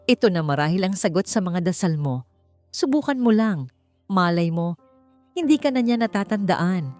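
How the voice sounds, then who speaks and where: A woman's voice: fairly low pitch, lively intonation, moderate pace, darkish tone, dry recording, soft background music, lightly acted. A woman voicing a supportive, insistent female best friend, coaxing and persuasive, in a narrated story.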